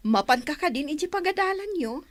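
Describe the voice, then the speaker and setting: A nosy village matron, voiced by an actress, with a fairly high voice. She questions and admonishes like an elder relative in radio drama style. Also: animated, brisk, no room sound. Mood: prying. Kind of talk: Ilocano radio drama acting.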